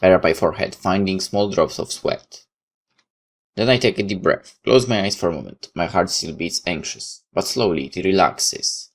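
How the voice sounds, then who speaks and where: A man's voice: low voice, normal speed. A man reading a visual novel aloud in first person, sometimes stepping out of the narration for casual comments. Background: background music.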